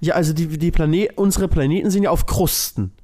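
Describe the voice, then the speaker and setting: Guy w a bit of a higher voice, loose colloquial explaining, podcast chat. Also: fairly quick, a little mellow. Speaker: podcast co-host. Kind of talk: casual chat.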